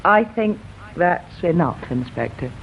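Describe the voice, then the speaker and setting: An actress playing a guarded daughter who coolly and crisply shields her mother from a police inspector in a radio drama. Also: lowish voice, big pitch swings, unhurried, a little hiss or hum, full character voice. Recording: dull lo-fi radio recording.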